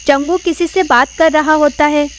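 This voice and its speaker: Lady narrator for spooky cartoon story, scripted, high voice, bit of noise behind. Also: fairly even intonation, moderate pace.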